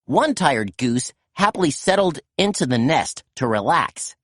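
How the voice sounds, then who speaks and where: A woman reading a children's picture book aloud in a gentle, expressive storytelling manner. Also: deep voice, measured pace, no echo, clean studio sound. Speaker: storytime reader.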